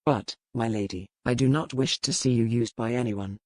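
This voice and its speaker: A man voicing a stern, cold but courteous pirate captain with a formal, measured delivery in a dramatized audiobook. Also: mid-range voice, a little mellow, no room sound, clean studio sound, lightly acted. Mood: reproachful, resolute.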